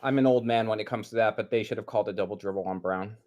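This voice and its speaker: A man's voice: on the low side, very fast, darkish tone. A man co-commentating a basketball stream, chatting casually and explaining plays in a conversational way. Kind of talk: casual chat and game analysis.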